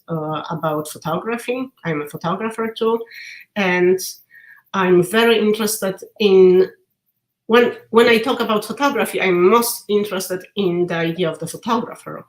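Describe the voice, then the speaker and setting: Woman, Polish accent, lowish voice, echoey room, video call, thoughtful professor explaining. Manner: thoughtful, explanatory, with small hesitations. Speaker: literature professor. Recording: roomy video call audio.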